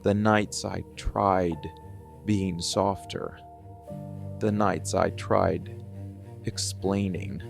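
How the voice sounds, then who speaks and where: A man playing a cold, embittered dark-fantasy villain in an ASMR monologue, speaking deliberately with heavy pauses. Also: low-pitched, fairly even intonation, gravelly, faint music behind, exaggerated voice. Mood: bitter, brooding.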